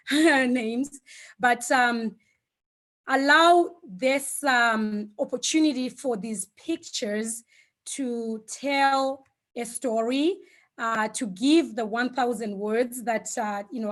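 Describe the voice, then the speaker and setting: A woman whose first language is Shona, giving an online talk over a video call, with frequent fillers and gentle hesitations. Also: a little high. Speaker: guest speaker.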